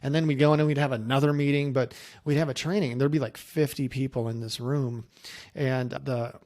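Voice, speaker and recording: A man's voice: medium pitch, fairly even intonation, a little fast, darkish tone. Conversational man giving casual coaching advice. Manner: conversational, anecdotal, acting out quoted remarks. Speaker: insurance agency coach and presenter. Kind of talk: casual coaching talk to camera.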